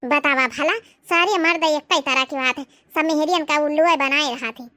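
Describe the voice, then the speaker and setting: A woman's voice: high-pitched, normal speed, sharp tone, no room sound. A woman voicing dramatic, plaintive village housewives in a comedy cartoon, speaking with a rustic Awadhi accent. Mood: scandalized.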